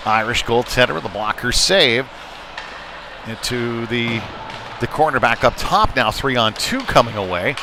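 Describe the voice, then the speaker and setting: A man doing live play-by-play commentary of an ice hockey game, often pausing mid-phrase. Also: medium pitch. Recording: clearly noisy sports venue background.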